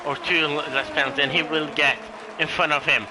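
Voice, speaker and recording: A man's voice: fairly high pitch, little pitch movement, brisk, crowd noise, background noise. A man with a Norwegian accent, a second-language English speaker, doing solo live commentary of a sim race, hesitant with many fillers and self-corrections.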